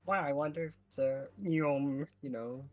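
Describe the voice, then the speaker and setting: A man's voice: mid-range voice, steady tone, moderate pace, darkish tone, soft background music. A man reading game dialogue aloud in a casual fan-dub playthrough with friends.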